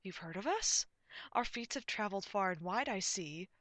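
A woman voicing a very tall, pompous antlered knight who booms out absurd demands in a comic fantasy story. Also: big pitch swings, measured pace, bright, forceful tone, dry recording, full character voice. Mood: pompous, commanding. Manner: booming, shrill chanting, declamatory.

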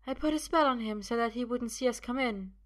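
A woman voicing a quiet, calm and deliberate young sorceress with cool menace in an audiobook. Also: a little high, voice pushed up, natural voice. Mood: calm, intent.

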